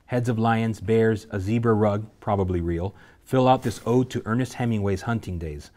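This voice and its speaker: Low-voiced man reading stage directions. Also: normal speed. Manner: steady, vivid reading of action lines. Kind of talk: script table reading.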